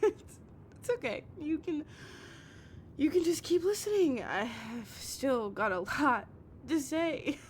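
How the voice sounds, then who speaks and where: Woman acting a high-voiced, heartbroken, obsessive young woman. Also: animated. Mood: tearful, desperate. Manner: halting, stammering, repeating phrases, emotionally raw. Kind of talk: intimate audio roleplay monologue. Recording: noisy recording with low rumble.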